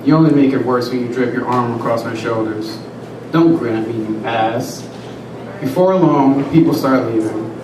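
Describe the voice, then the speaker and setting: A man's voice: medium pitch, normal speed. Man reading prose in an echoey hall. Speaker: student reader. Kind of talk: reading his prose aloud. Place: large room at a live reading. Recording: echoey room, far from the mic, noisy.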